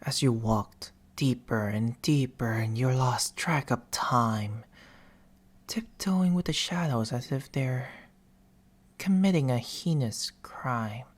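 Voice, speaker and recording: A man playing a soft-spoken, teasing sleep paralysis demon in an ASMR roleplay, speaking breathily and often whispering, with slow, theatrical intimacy close to the mic. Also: mid-range voice. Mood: eerie. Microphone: close binaural microphone.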